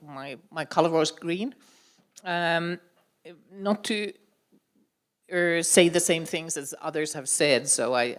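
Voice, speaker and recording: A woman on a jury giving warm spoken praise, soft-spoken and often trailing off. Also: sits low. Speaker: jury member. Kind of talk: spoken feedback at a pitch event.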